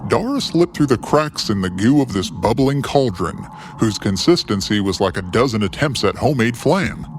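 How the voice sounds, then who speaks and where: A man narrating a scripted fantasy story in a theatrical, whimsical way with deliberate pauses. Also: medium pitch, lively intonation, slight background noise.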